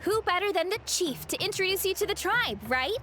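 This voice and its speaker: A friendly young woman athlete chatters in a high, bright voice that rises and falls a lot. She sounds eager and a little sleepy-natured, with game music softly behind her. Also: expressive, a little fast, a little hiss or hum, full character voice. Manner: bright, bubbly and earnest. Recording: game audio with faint music.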